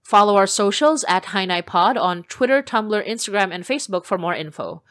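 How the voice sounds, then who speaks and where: A woman's voice: mid-range voice, animated, moderate pace, no echo. A woman podcast creator reading a scripted announcement in a friendly, upbeat way, addressing listeners directly.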